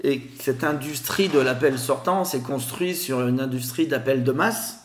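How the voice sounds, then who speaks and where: A man with a Grenoble accent, a guest explaining telemarketing in a podcast interview, with a lively sing-song way of talking. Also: medium pitch, normal speed, reverberant, slight background noise. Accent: Grenoble French.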